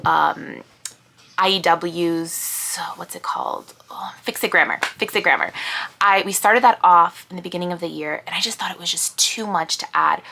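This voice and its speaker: A woman vlogging about her homeschool year in casual chat, hoarse from losing her voice and laughing often as she rambles. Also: lowish voice, bright, forceful tone.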